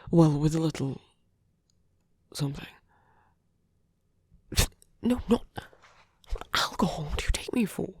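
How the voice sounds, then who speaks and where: A man playing a protective, gently teasing villain in an intimate ASMR roleplay, speaking in a soft, hesitant, breathy half-whisper. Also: a little high, animated, a little slow, pauses often, exaggerated voice. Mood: hesitant, softly teasing. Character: male villain, protective, gently teasing, rescuing a wounded rival.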